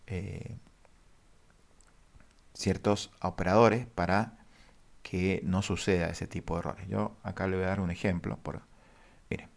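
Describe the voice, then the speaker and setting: A low, gravelly man walks through a database lesson at his computer. He talks haltingly, with frequent fillers, and addresses his viewers formally. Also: darkish tone. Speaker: database instructor. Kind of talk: screen-share coding lesson.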